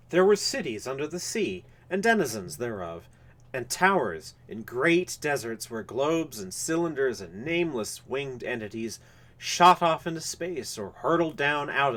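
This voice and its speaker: A man gives a live reading of literary horror fiction. He phrases it formally and deliberately, with a dramatic storyteller's delivery, at a medium pitch. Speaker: reader of a story.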